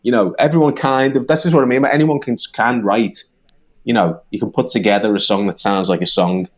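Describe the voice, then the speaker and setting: Halting, animated British songwriter man. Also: medium pitch. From United Kingdom. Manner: halting, many restarts, animated when making a point. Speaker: songwriter guest. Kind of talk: casual conversation.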